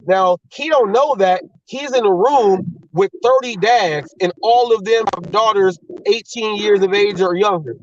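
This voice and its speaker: A man's voice: high voice, a little slow, few pauses, far from the mic, lo-fi sound, background noise. A man hosting a talk show, blunt and heated, swearing and repeating himself as he argues. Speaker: host.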